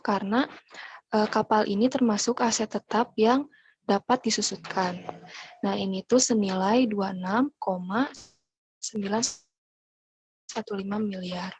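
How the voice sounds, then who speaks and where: A woman's voice: mid-range voice, a little mellow, slightly rough, poor audio. A young woman explaining material as a student presenter in a class presentation. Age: young adult.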